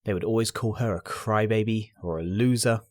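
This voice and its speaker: A man reading a scripted story aloud as narrator, in an expressive, earnest and dramatic storytelling style. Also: on the low side, brisk, no echo, studio quality.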